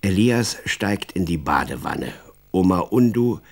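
Low, husky male storyteller. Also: animated, a little slow. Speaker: narrator. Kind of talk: scripted children's story narration.

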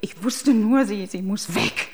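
A woman's voice: medium pitch, a little slow, crowd noise, natural voice. A woman playing a distraught, defensive doctor confessing under questioning in a crime radio play, stammering and agitated. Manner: stammering, agitated, breaking into whispers. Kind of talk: German crime radio drama acting.

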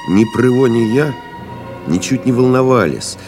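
An actor playing a weary, stoic pilot stranded in the desert, narrating gravely and deliberately in an audio play, with music behind him. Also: male voice, mid-range voice, animated. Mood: tense anticipation. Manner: grave, deliberate, brooding, with pauses. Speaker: actor narrating in first person.

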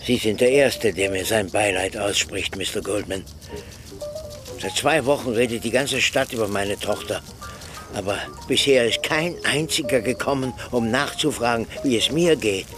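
Radio drama role: man playing frail old ex-reverend, bereaved and bitter, medium pitch, music underneath. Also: natural voice. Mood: bitter, grieving. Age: older. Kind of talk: German radio play acting.

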